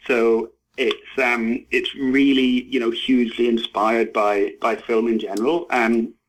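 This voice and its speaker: A man in his forties giving a thoughtful interview, speaking haltingly with repeated words and many verbal hedges. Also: mid-range voice, level tone, poor audio. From Scotland. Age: 40s. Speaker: interview guest, novelist and screenwriter.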